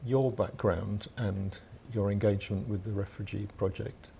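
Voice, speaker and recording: Male moderator, gravelly, hesitant questioner, off-mic, slight background noise. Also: fairly low pitch, steady tone, normal speed, warm tone. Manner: tentative, stammering questions. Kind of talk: academic question.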